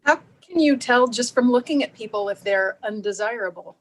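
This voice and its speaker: A high voice with a hesitant, stammering delivery from a woman acting. She is a naive, well-meaning suburban housewife, easily flustered, in a staged reading of a play. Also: normal speed, natural voice, rough recording. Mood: puzzled, awkward.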